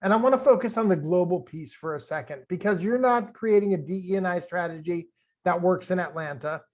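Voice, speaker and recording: High-voiced male host, lo-fi call. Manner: conversational, thoughtful, halting fillers. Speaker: interview host. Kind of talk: online interview.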